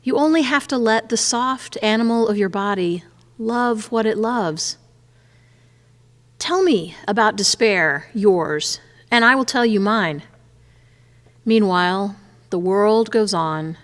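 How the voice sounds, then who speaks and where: A woman reciting a poem in a measured way. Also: medium pitch. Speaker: poetry reader. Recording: long reverb of a church hall.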